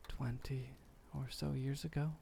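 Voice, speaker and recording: A man acting as a centuries-old vampire lover speaks very slowly and softly, with a gravelly creak in his voice. He is gentle, melancholy and formal, musing as if at a bedside in an intimate ASMR roleplay. Faint noise sits behind him. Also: medium pitch, level tone. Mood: pensive, mildly weary. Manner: soft-spoken, unhurried, formal old-fashioned phrasing, musing.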